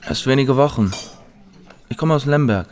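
A man's voice: medium pitch, moderate pace, darkish tone, a little hiss or hum, natural voice. A man acting a weary refugee in a radio play, speaking tersely with matter-of-fact resignation. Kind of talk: German radio drama dialogue.

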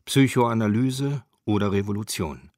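A man's voice: on the low side, measured pace, darkish tone. A man reading scripted narration for a radio documentary.

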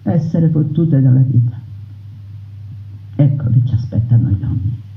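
A woman's voice: a bass voice, animated, unhurried, vocal fry, a little hiss or hum. Impassioned woman, roomy dull radio sound. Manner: impassioned, declamatory. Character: defiant peasant brigand woman, proud, unbroken. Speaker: narrator voicing a brigand woman. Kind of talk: theatrical monologue on radio.

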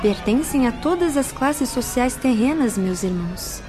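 A stern spirit nun in a radio drama, lecturing visitors in a grave, measured tone. Her voice sits a little high. A music bed runs clearly beneath every line. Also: lively intonation, crowd noise. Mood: solemn. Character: solemn nun spirit, stern female guide.

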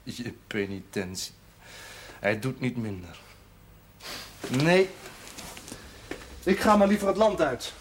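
A man's voice: medium pitch, very animated, slow pace, long pauses. An actor playing a bitter, tormented young male sinner in a television drama, sarcastic and desperate, swinging from muttering to outbursts. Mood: cynical, subdued. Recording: noisy 1970s TV soundtrack.

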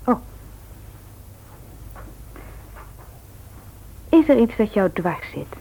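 An actress playing a caring, frank young medical student in a radio drama, earnest and slightly hesitant. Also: female voice, a little high, sing-song, moderate pace, gravelly, full character voice. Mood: friendly, attentive. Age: young adult. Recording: thin, phone-like old radio recording.

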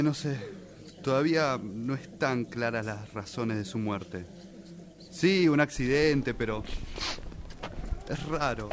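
A man with an Argentine accent playing a grieving, suspicious son in a radio drama, speaking insistently as he thinks aloud. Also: medium pitch. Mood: troubled, doubtful. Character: grieving, suspicious son obsessively investigating his father's death. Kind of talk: Spanish-language radio drama acting. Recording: clear street and traffic noise.

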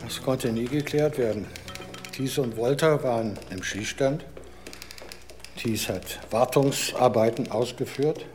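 An actor playing a stern senior homicide detective in a radio play, measured, matter-of-fact and authoritative. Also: male voice, medium pitch, darkish tone, soft background music, natural voice. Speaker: senior police official. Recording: background noise, typewriter clatter. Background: office typing.

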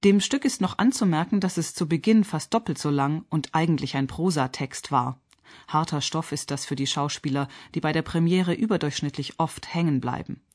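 A woman radio critic reading a scripted theatre review in an articulate, measured and pointed manner. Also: sits low, a little mellow.

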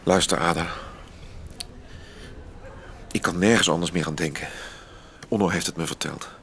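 A man playing a passionate, rationalising lover in a radio drama, with a theatrical, expressive delivery. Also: deep voice, moderate pace, vocal fry. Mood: anguished. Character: passionate, agitated male lover, romantic and rationalising. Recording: loud background ambience.

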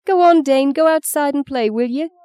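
A woman voicing a sharp-tongued, strict grandmother in an audiobook, with a tart, commanding way of talking. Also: fairly high pitch, sing-song, normal speed, no room sound, studio quality, pitched above the performer's own voice. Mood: exasperated, brisk. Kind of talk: audiobook character reading.

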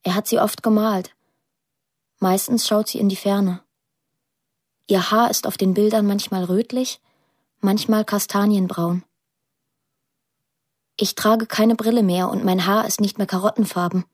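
Pensive young woman, played by an actress, slightly husky. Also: mid-range voice, fairly even intonation, measured pace, pauses often, darkish tone, dry recording, studio quality, natural voice. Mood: reflective, confiding. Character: pensive young woman narrating her estranged family. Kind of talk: introspective radio drama narration.

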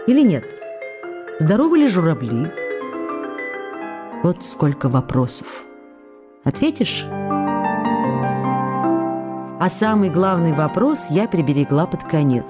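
An actress playing a tender, lovestruck young actress in a radio play, reading her letters aloud in an intimate, wistful manner with pensive pauses. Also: lowish voice, very animated, music in the background. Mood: tender, musing, then longing, playful.

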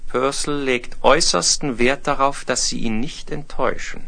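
An actor playing a stiff, insistent master of ceremonies with formal speech in a 1970s radio play. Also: male voice, mid-range voice, normal speed, creaky, bright, forceful tone. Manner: formal, officious.

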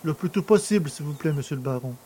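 Plaintive, higher-voiced hapless poacher acted by a man. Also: expressive, quick pace, warm tone, slight background noise, natural voice. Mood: downcast, pleading. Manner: plaintive, theatrical pleading. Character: hapless, pleading male poacher wronged by justice, self-pitying. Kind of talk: French audio drama acting.

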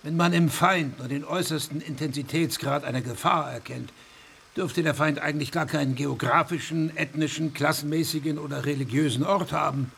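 An actor playing a sardonic physician and state councillor in a radio play, asking probing, ironic questions. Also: male voice, fairly high pitch, fairly even intonation, fairly quick, creaky, fairly bright tone, a little hiss or hum.

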